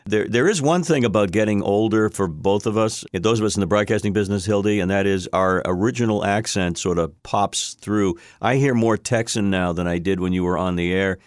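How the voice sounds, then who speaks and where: A man with a Boston accent asks friendly questions in a relaxed way. His voice is very low and the recording is dry and close. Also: brisk, few pauses, clear, resonant tone, dry recording. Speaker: co-host, broadcaster. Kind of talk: casual interview.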